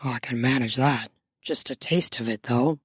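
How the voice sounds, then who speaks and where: A woman voicing a dominant, teasing man in an audiobook romance, murmuring in a strained, drawn-out way. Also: a bass voice, slightly rough, dry recording, clean studio sound, voice pushed down, full character voice. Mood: teasing, hushed. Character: dominant, teasing male lover, controlled and aroused.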